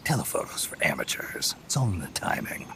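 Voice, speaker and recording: An actor voicing a terse, guarded middle-aged male mercenary in a video game cutscene, with a gravelly, hushed delivery. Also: big pitch swings, brisk, exaggerated voice. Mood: calm. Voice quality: gravelly, low whispery delivery. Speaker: game character. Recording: game cutscene with music behind.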